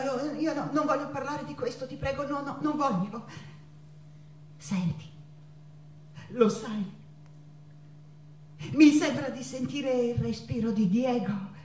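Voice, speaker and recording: An actress playing an anguished, exhausted painter possessively in love, in a dramatic, repetitive theatrical stage monologue. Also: female voice, high-pitched. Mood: pleading, weary. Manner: dramatic, repetitive, swinging from cries to murmurs. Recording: echoey stage, distant microphone.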